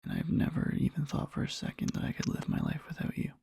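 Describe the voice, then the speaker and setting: A man playing an adoring boyfriend in a soft ASMR roleplay, murmuring slowly and tenderly to a sleeping partner in a breathy, husky whisper. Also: no room sound, natural voice. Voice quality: breathy, husky, with creaky fry. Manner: slow, halting, tender murmuring.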